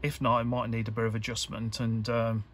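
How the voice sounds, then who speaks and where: A man giving an informal hands-on tutorial, talking in a halting, hesitant way as he thinks aloud. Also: mid-range voice, monotone, fairly bright tone. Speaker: electronics hobbyist presenter.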